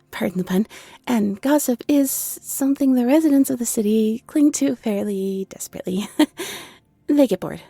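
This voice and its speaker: Woman as a shy, breathy, flustered owl-folk shopkeeper. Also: medium pitch, dark tone, no echo. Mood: nervous, friendly. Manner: hesitant, apologetic, nervously chatty. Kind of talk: scripted roleplay audio drama.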